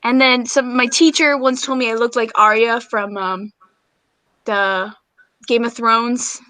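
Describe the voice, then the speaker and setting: Female, high-pitched with a forward, bright edge; informal banter, closely dry sound. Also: steady tone, unhurried, dry recording. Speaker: hangout participant. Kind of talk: casual chat with friends. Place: online group video call.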